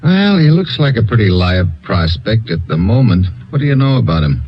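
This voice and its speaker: A blunt American spy agent with a wry, clipped tough-guy delivery. His voice sits low and steady. It comes through the dull, narrow sound of an old radio broadcast. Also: moderate pace, faint music behind. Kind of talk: 1950s radio drama acting.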